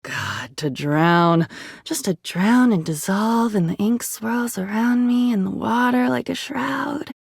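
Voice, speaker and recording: A woman's voice: fairly high pitch, lively intonation, slow, vocal fry, slightly rough, no room sound, studio quality, exaggerated voice. An actress playing an unhinged, dreamy woman with a volatile temper who rambles disjointedly in a video game. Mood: haunted, dreamy. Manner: disjointed rambling, swinging between wistful and agitated. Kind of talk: video game character voice acting.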